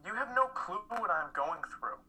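A man's voice: high voice, expressive, moderate pace, sharp tone, husky, exaggerated voice, poor audio. A young man playing a cynical, dismissive, grieving college student in a dramatic play reading. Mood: bitter. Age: young adult.

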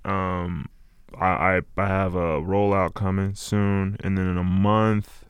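A man in his late twenties chatting casually as an interview guest, halting and meandering as he reflects. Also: low-pitched, flat delivery, smooth, no echo, studio quality. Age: late 20s. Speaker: interview guest, music artist. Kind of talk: casual chat.

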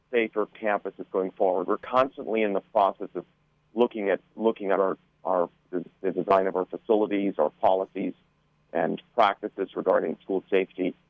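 A deep-voiced man comes through a narrow, phone-like connection with little room sound. He talks as an official on a radio panel, careful and halting, doubling back on words as he explains. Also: dry recording. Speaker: school board member. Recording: muffled narrow phone-like line.

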